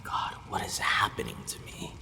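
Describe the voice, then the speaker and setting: A man acting a haughty but softening vampire lord in an ASMR audio roleplay, brooding, formal and given to hesitant sighing. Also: moderate pace, close to an everyday voice. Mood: brooding, bemused. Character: possessive male vampire lord, haughty yet secretly softening. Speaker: roleplay voice actor. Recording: long reverb, faint background noise.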